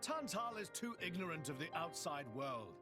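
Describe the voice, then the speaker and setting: A man's voice: a little high, big pitch swings, normal speed. A British man playing a brash, showy exiled prince who flusters easily, in a video game cutscene. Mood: confident explaining. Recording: music behind the voice.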